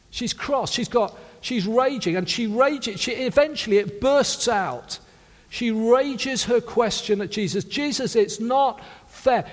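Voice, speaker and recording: A man from South Wales with a South Welsh accent, preaching an earnest sermon that swings from loud emphasis to hushed intimacy. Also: sits high. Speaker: pastor. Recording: long reverb of a large hall.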